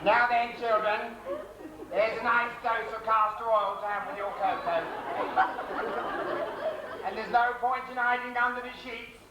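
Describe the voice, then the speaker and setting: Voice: medium pitch, steady tone, measured pace, long pauses, highs cut off, crowd noise, natural voice. An actor playing a bustling comic nurse in a stage pantomime, scolding and repeating words in flurries, recorded in a theatre. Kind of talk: broad stage pantomime comedy. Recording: roomy theatre from far off, noisy audience.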